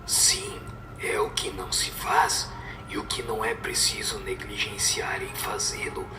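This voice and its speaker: A man playing a solemn spirit teacher in a radio drama, speaking in a measured, didactic and breathy whispered voice. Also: fairly low pitch, steady tone, natural voice. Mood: solemn instructive. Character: solemn male spirit teacher giving moral answers. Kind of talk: scripted radio drama recitation. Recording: echoing voice over music.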